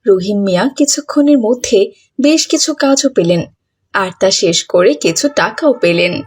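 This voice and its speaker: A woman's voice: mid-range voice, lively intonation, moderate pace, breathy edge. A woman narrating a scripted moral story as a storyteller.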